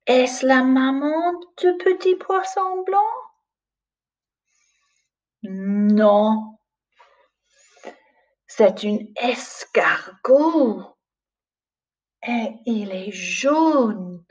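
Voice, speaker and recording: Very slow-speaking woman, storytime teacher. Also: a little high, far from the mic. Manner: slow, clearly paced, with expressive questioning intonation. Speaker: language teacher reading a picture book. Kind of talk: storytime lesson for young children. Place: small plain room indoors.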